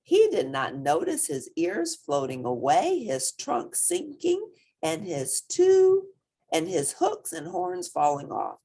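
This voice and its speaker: A woman reading a children's picture book aloud as an expressive storyteller, with dramatic emphasis. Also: fairly low pitch, slow, vocal fry, slightly rough.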